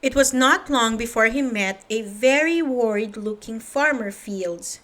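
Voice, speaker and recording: A woman narrates a picture book for young children. Her voice sits a little high and her pace is slow, and she talks straight to the listener as she reads. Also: a bit bright. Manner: addresses young listeners directly. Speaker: children's story reader. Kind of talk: read-aloud storybook narration.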